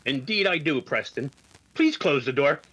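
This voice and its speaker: A stern commanding officer acted by a man with strongly varied pitch. He is formal and authoritative, measuring out his words like a superior in a 1950s radio police drama. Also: lively intonation, moderate pace, fairly bright tone, lo-fi sound, slight background noise, close to an everyday voice.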